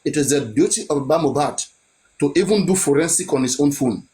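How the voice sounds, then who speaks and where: A man's voice: on the high side, brisk, dark tone. A man, giving his opinion in a chat and pressing his points with repeated rhetorical questions.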